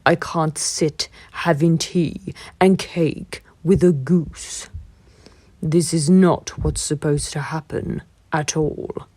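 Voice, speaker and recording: A woman voicing a big, proud male lion who talks slowly and gruffly. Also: fairly even intonation, dark tone, no room sound. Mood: dismayed. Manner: slow, low and matter-of-fact.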